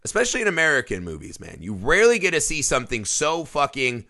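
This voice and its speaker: A man hosting a casual film podcast, excitable and quick to laugh, with frequent restarts. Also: a little high. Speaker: podcast host.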